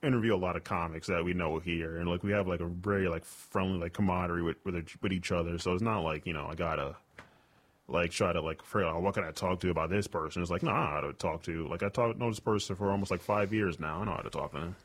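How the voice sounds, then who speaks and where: A young man, a comedian and radio host, rambling through a casual interview with lots of fillers and restarts, with a booming voice. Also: fairly even intonation, fast.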